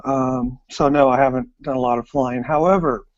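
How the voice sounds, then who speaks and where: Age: adult. Sex: man. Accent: American. Pitch: medium. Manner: easygoing. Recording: dull call audio. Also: steady tone, normal speed. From United States. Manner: easygoing, rambling with ums. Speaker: guest. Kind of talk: casual chat. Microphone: online call.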